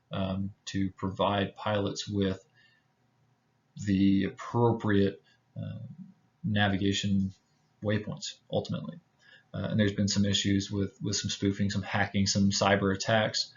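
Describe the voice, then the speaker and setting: An American man from Houston giving an informal slide presentation, speaking hesitantly with many filled pauses. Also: lowish voice, lo-fi sound. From Houston, United States. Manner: halting, hesitant delivery with frequent filled pauses. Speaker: presenter, pilot.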